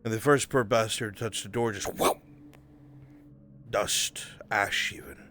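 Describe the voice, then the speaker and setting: A man's voice: lowish voice, steady tone, measured pace, sharp tone. Man playing a seasoned fantasy adventurer over music. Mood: grave storytelling. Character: seasoned, worldly male fantasy adventurer, sly and teasing. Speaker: roleplayer. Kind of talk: live improvised fantasy roleplay in virtual reality. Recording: background music behind the voice.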